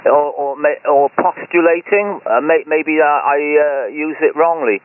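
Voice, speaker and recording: A man's voice: fairly high pitch, measured pace. A man with a British accent chatting casually over ham radio, hesitant and agreeable, stumbling over his words. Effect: ham radio transmission. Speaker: amateur radio operator. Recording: tinny shortwave radio link with hiss.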